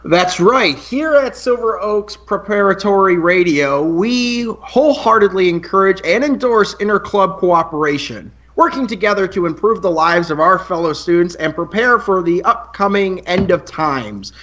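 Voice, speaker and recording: A man's voice: high-pitched, lively intonation, normal speed, hardly any pauses, a bit bright, lo-fi sound. A young man playing a playful, wordy eleventh-grade boy who co-hosts a campus radio show in an amateur radio play. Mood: playful, wry. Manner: playful, wordy announcer patter.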